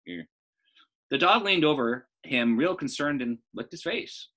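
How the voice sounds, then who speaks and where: A man in his thirties reading a children's book aloud as a storyteller, in an animated style. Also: mid-range voice, measured pace.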